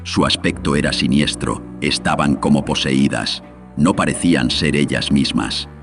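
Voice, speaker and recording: A man with an extremely deep, filtered voice narrates a horror tale. His delivery is solemn and theatrical, scripted like an old radio serial, over faint music. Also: normal speed, gravelly. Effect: voice processed with audio filters.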